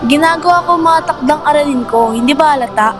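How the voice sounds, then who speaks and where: A woman's voice: high-pitched, fast talker, music in the background, natural voice. A woman playing a troubled, defensive schoolgirl in an amateur radio drama. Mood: irritated. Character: troubled schoolgirl Sheila, snappy and defensive with family.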